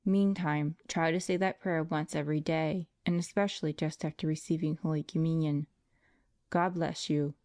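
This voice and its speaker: A woman voicing a grave, kindly elderly male priest who gives measured advice. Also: deep voice, fairly even intonation, darkish tone, dry recording, close to an everyday voice. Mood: solemn. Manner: measured, solemn.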